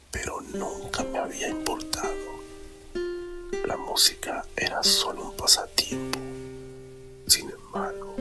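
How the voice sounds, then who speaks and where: A man softly whispering a romantic story in a slow, deliberate ASMR narration, close to the microphone. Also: extremely high pitch. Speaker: storyteller reading own written story.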